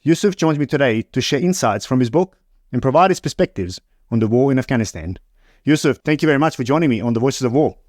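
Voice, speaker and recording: A man hosting an interview podcast, reading an introduction and then asking questions in a measured way, often restarting sentences. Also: mid-range voice, expressive, darkish tone, dry recording, clean studio sound. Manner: measured, stumbling restarts when unscripted. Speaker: podcast host.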